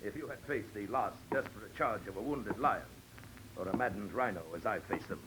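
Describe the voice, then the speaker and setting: An actor playing a pompous, suave big-game hunter villain with florid, mock-courteous speech, in 1940s radio drama style. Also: male voice, on the low side, brisk, lightly acted. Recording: noisy old 1940s radio recording.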